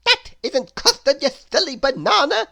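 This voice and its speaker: An excitable, bossy storybook chap, played by a woman, calling out in a high, exaggerated voice. He is loud and exclamatory, with pitch leaping about. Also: moderate pace, vocal fry, no room sound, studio quality, heavily acted. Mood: urgent, teasing. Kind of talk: children's storybook character voice.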